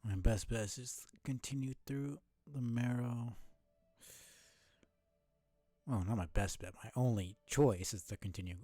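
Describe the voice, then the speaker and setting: A man's voice: medium pitch, moderate pace, dark tone, no echo. A man streaming a game live, thinking aloud casually, often correcting himself and swearing mildly. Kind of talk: casual live gameplay commentary.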